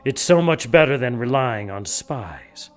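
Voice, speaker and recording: Man voicing a sly scheming monk, smooth, condescending, quietly menacing. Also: mid-range voice, animated, measured pace, soft background music, natural voice.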